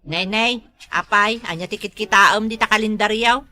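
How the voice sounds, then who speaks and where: A woman's voice: mid-range voice, normal speed, lightly acted. A woman acting a strict, scolding village wife in an Ilocano comedy drama, with an Ilocano accent. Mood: complaining. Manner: scolding, forceful.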